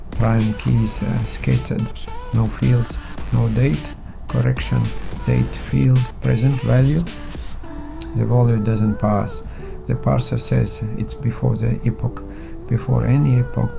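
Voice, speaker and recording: A man playing a methodical engineer in an audio drama, dictating forensic records in a flat, halting, procedural way. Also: mid-range voice, creaky. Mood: halting, uneasy. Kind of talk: audio fiction, dictated log. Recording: music behind the voice.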